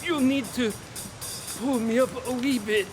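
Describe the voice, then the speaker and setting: A man playing a gruff, steadfast knight in an audio drama, with old-fashioned, folksy phrasing. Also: extremely high pitch, unhurried, music in the background. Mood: strained. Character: gruff, steadfast male knight climbing a cliff.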